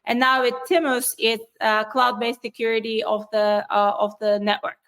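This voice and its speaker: A woman's voice: a little high, flat delivery, normal speed, fairly bright tone. A woman from Turkey with a Turkish accent, answering interview questions on cybersecurity in an explanatory way, with frequent fillers and restarts. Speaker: cybersecurity executive guest.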